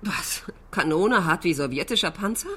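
An actress playing a cool, suspicious betrayed wife in a radio drama, pointed and sceptical in a naturalistic style. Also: female voice, fairly low pitch, expressive, normal speed, a bit bright, slight background noise. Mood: cool, irritated.

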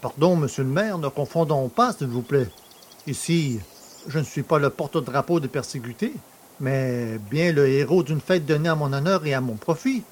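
A man's voice: on the high side, moderate pace, slight background noise, lightly acted. A man acting as a proud, cheeky country poacher in a French audio drama, with a mock-respectful, stubborn manner. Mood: proud, taunting. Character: cheeky, proud rustic male poacher, guest of honour.

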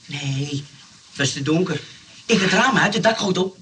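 An actor playing a pleading, chatty, self-pitying burglar in a comic radio play, with a Netherlands Dutch accent and a polite, hesitant way of talking. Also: male voice, fairly high pitch, expressive, moderate pace, pushed and bright. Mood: explaining. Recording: distant, noisy old radio recording.

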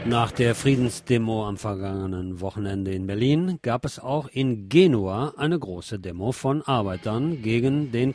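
A man's voice: medium pitch, a little mellow. A man presenting radio news, reading from a script in German news style.

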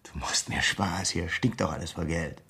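Radio thriller: escaped convict blackmailer, deep and husky, sardonic, controlled, quietly threatening, insistent on money. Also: male voice, normal speed, exaggerated voice. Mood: menacing, insistent. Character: cool, menacing escaped convict demanding money. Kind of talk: German radio crime drama acting.

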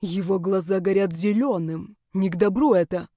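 A woman's voice: medium pitch, normal speed, dark tone, dry recording, clean studio sound, close to an everyday voice. A woman voicing a brash, loyal young male naga, slangy and protective, in a fantasy audiobook.

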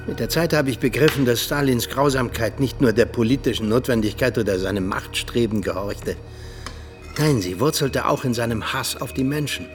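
An actor playing an embittered, world-weary older Soviet ex-agent and mentor in a radio drama, speaking emphatically. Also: male voice, mid-range voice, lightly acted. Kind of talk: radio drama acting, monologue-like confession.

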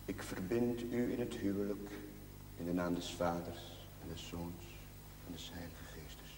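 Solemn priest, cavernous echo. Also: male voice, sits low, unhurried, background noise. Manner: solemn, formal, ceremonial. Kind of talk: solemn wedding liturgy, partly chanted in Latin. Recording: echoey church reverb, distant mic.

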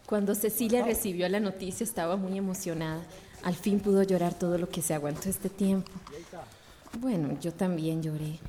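An actress playing a warm, stubborn schoolteacher in love in a radio drama, with a Central American accent. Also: female voice, on the low side, steady tone, normal speed, close to an everyday voice. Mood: moved, tender. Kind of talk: radio drama acting and narration.

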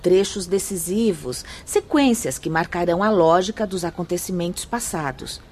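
A woman playing a serene, learned spirit guide in a radio drama, speaking in a formal, measured, instructive and firm manner. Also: on the low side, animated, a little mellow. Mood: patient, instructive. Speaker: actress in a radio play. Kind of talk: radio drama acting, solemn and didactic.

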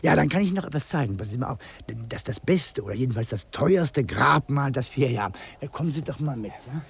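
An actor playing a chatty, nosy old cemetery gardener in a 1950s German radio drama, husky and rambling. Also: male voice, medium pitch, expressive, quick pace. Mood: eager, confiding. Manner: garrulous, teasing, rambling.

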